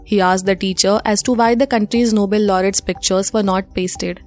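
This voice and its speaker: A woman newsreader with an Indian accent delivers a scripted bulletin. Her voice sits at a medium pitch, and her delivery is formal and measured. Faint music plays behind her. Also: steady tone, darkish tone. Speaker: news presenter. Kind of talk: scripted news bulletin reading. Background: music under the voice.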